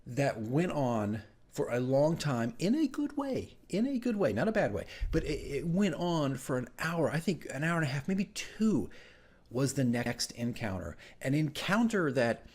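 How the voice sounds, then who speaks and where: A man telling the story of his tabletop game session as dungeon master, in a casual, animated, rambling vlog style. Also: a little high, unhurried, breathy edge. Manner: animated, rambling asides with acted-out exclamations.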